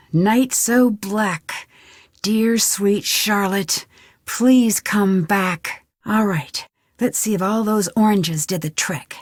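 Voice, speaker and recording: A woman's voice: medium pitch, breathy edge, no echo, studio quality. A young woman playing a curious, plucky amateur detective in an adventure video game, thinking aloud to herself. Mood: slow, reading aloud. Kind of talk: scripted adventure game voice lines.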